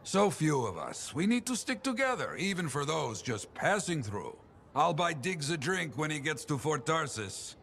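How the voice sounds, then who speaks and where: Jovial, gravelly foreign contract fixer. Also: male voice, a little high, normal speed, a little hiss or hum, heavily acted. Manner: jovial, expressive, broken English. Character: boisterous foreign fixer handing out mercenary contracts. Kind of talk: video game character acting.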